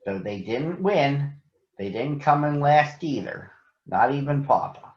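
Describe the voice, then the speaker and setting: A man reading a children's story aloud in a deliberate, expressive storytelling manner. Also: on the high side. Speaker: storybook reader for children on a recurring show. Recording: echoey room, slightly dull sound.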